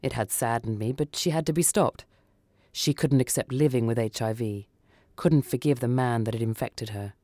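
A man reads a first-person suspense story for radio. His tone is mellow and dark at a middle pitch, and the recording is close and dry. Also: normal speed, no echo. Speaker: story reader.

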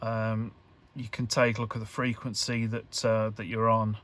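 A man giving an informal hands-on tutorial, talking in a halting, hesitant way as he thinks aloud. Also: on the low side, flat delivery, fairly bright tone. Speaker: electronics hobbyist presenter.

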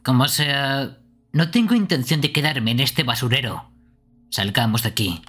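A crude and impatient killer from a video game, acted by a man. He talks gruffly and swears freely, in a slightly husky voice. Faint music plays behind him. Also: mid-range voice, expressive, moderate pace, fairly bright tone. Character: crude, impatient, foul-mouthed male killer. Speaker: streamer dubbing a game. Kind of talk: fan game dubbing.

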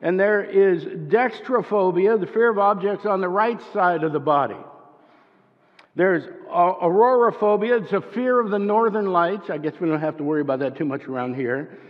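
Older pastor preaching in a reverberant church. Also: male voice, high voice, moderate pace. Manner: wry, conversational preaching with dry jokes. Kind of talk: sermon. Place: church sanctuary at a wooden pulpit. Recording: dull sound with long church reverb.

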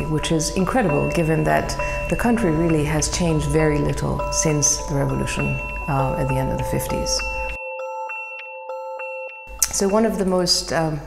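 A middle-aged woman from Iran with a low, gravelly voice talks about her work in a slow, reflective way, often pausing and restarting to find the right words. She is recorded in a roomy space with a long echo tail and a faint background hum. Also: darkish tone. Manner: thoughtful, searching for words, many fillers and restarts. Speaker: photographer. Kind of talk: reflective interview monologue.

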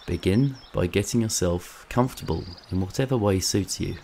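A man narrating a scripted guided meditation in a calm, soothing, measured way, with long pauses. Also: deep voice, vocal fry, a little hiss or hum.

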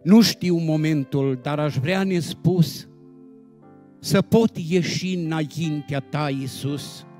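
A man reciting devotional poetry solemnly and expressively, with dramatic phrasing and deliberate pauses. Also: fairly high pitch, a little mellow. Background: instrumental music.

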